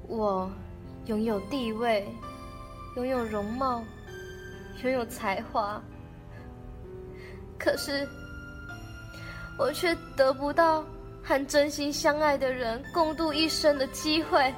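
A woman's voice: high-pitched, slow pace, pauses often, over music, voice pushed up. A woman with a Taiwanese accent playing a lovesick, melancholy teenage princess in a student radio drama, girlish and emotional. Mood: despairing. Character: lovesick, melancholy eighteen-year-old princess.

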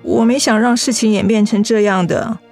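An actress playing a gentle, guilt-ridden young woman in a Mandarin radio drama, apologetic and hesitant, with a Taiwanese accent. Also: medium pitch, steady tone, moderate pace, gravelly, soft background music, natural voice. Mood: apologetic, pained.

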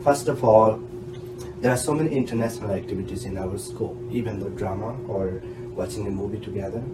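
A man's voice: lowish voice, brisk, very noisy. A man in his thirties with an Indian accent, a guest giving frank, emphatic advice on a podcast interview. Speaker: guest, doctoral graduate. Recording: echoey room with loud music bed.

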